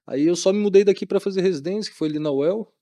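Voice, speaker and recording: A man's voice: high voice, fast, mellow, dry recording. A middle-aged Brazilian man chatting informally as a podcast guest, using lots of colloquial tags. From Maringá, Brazil. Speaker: guest, doctor. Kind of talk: casual podcast interview talk.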